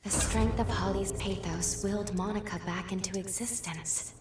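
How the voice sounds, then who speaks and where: An actress playing a resolute, perceptive companion in a dramatic video game, with a husky, hushed and serious delivery. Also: female voice, medium pitch, fairly even intonation, normal speed, slight background noise, lightly acted. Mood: resolute, grave. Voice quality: very breathy, husky. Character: resolute, perceptive female companion in post-apocalyptic adventure.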